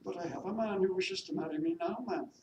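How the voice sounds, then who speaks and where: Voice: medium pitch, quick pace, darkish tone, voice pushed up, close to an everyday voice. A reader voicing a meek but determined young maid with an attempted Welsh accent, polite, hesitant and deferential, as in a period novel. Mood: timid, earnest. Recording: noisy echoey room, distant mic.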